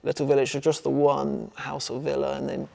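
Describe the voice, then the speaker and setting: A man's voice: medium pitch, completely flat delivery, fairly quick, vocal fry, a little mellow. A man with an English accent talking casually about his own work as an artist, in a rambling, informal way. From England.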